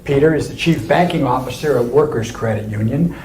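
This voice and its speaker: Webinar host, mid-pitched male voice, roomy echo, faint hiss. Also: fairly quick, darkish tone. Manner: friendly, slightly rambling introductions. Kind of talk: hosting a webinar interview. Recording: echoey room with faint noise.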